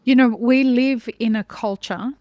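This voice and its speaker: A woman's voice: a little high, steady tone, measured pace, darkish tone, no echo. A woman from Australia with an Australian accent, interviewing guests conversationally as a podcast host.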